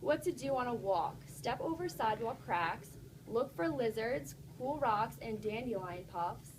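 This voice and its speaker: High-pitched woman reading aloud to children. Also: animated, unhurried, slight background noise, rough recording. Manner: encouraging, instructive, addresses children directly. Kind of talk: interactive storybook read-aloud with questions to a class.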